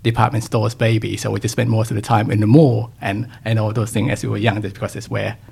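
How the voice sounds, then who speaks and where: Gravelly, husky man from Thailand. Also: on the low side, flat delivery, fast talker, a little mellow. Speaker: podcast guest. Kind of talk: casual interview conversation.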